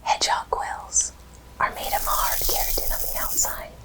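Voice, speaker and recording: A woman host narrating educational animal facts in an ASMR style, whispering slowly and deliberately. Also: fairly bright tone, rough recording. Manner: whispers slowly and deliberately through most of the talk.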